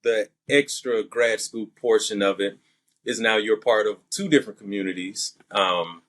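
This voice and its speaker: A man's voice: lowish voice, normal speed, a bit bright. A young man with a light Southern accent, an actor answering interview questions in a thoughtful, methodical way. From Alabama. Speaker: actor and podcast guest.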